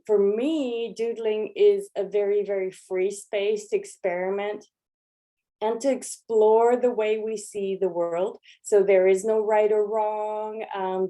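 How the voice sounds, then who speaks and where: A woman leading an art workshop, talking through her slides in a relaxed, chatty way with lots of ums and restarts. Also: medium pitch. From Los Angeles. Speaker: workshop leader. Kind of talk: informal lecture with slides.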